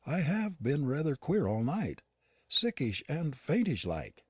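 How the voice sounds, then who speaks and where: A man's voice: on the high side, huge pitch swings, a little slow, slightly rough, no room sound, studio quality, voice pushed up, exaggerated voice. A man voicing an older Yorkshire housekeeper woman, mournful, with homely dialect speech. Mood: mournful pleading.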